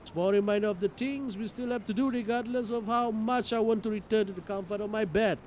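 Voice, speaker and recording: A man streaming a game, reading its story aloud in an expressive, theatrical way. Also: high-pitched, fairly quick, slight background noise. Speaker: streamer playing a visual novel. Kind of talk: dramatic read-aloud narration with live reactions.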